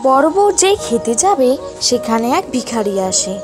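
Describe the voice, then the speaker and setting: A woman's voice: fairly high pitch, big pitch swings, moderate pace. Female folk tale narrator over music. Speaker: storyteller. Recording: voice over prominent background music.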